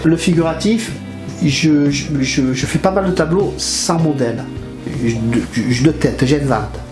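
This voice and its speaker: A man's voice: fairly high pitch, unhurried. An older man from France, a painter talking casually in his studio, hesitant and often repeating his words. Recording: roomy studio with music over it. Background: guitar music.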